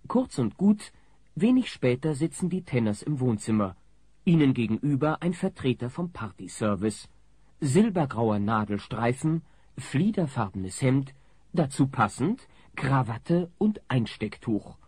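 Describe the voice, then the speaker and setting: A man acting as the wry narrator of a family comedy audio play, reading in a husky, mellow voice. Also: mid-range voice, dry recording, exaggerated voice.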